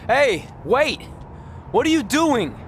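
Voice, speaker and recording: Earnest, animated young man. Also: very high-pitched, takes it slowly, a little hiss or hum, full character voice. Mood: defiant. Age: young adult. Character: earnest, stubborn young male aspiring pro wrestler.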